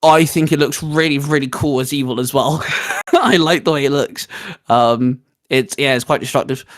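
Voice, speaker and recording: A man chatting casually and giving emphatic opinions as a co-host, with halting, repetitive phrasing. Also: medium pitch. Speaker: co-host ranking video game powers.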